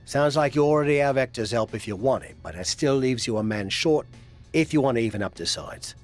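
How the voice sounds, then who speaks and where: A man's voice: medium pitch, animated, a little fast, faint music behind, lightly acted. A middle-aged man playing a proud, crafty, teasing veteran wrestler who coaches frankly in a video game cutscene. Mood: determined, persuading. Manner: frank, coaxing, wry.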